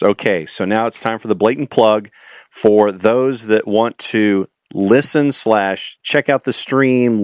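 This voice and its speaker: A male sports radio host with a lowish, smooth, resonant voice talks in chummy, polished patter. The sound is dry and slightly dull. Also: dry recording. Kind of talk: conversational radio interview hosting.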